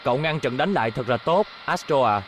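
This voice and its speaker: A man dubbing a friendly, troubled young racing robot in a cartoon, gently explaining. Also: medium pitch, expressive, fairly quick, background noise, close to an everyday voice. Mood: rueful, explaining.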